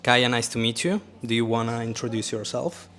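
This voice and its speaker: A man's voice: mid-range voice, fairly quick, fairly bright tone, a little hiss or hum. A man speaking English as a second language as host, asking leading, coach-like questions in a casual interview. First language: Italian.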